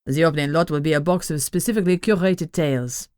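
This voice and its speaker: A deep-voiced male witch leader with a thick French accent pontificates to his followers in a low, sinister drawl, as a woman puts him on. Every word drips with self-importance. The read is close-miked and completely dry. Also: fast talker, a little mellow, clean tone, no room sound, natural voice. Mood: pompous.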